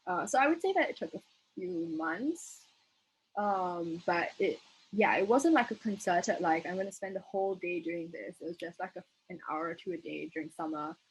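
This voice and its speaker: A woman's voice: on the low side, animated, normal speed, poor audio. A young woman from Singapore with a Singaporean accent, giving an informal explanatory lecture as a debate trainer, casual and hedging as she thinks aloud. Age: young adult. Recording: noticeable background noise.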